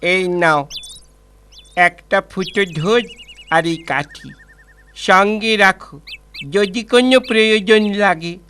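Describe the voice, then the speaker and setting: A man playing a kind, generous village drummer in a children's cartoon fairy tale, with a gentle, well-wishing manner. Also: high voice, slow pace, long pauses, slight background noise, full character voice. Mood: kindly.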